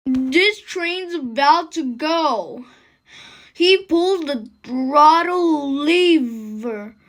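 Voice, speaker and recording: A woman reading a picture book aloud expressively, in deliberate, emphatic phrases with pauses between short lines. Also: sits high. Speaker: storybook reader.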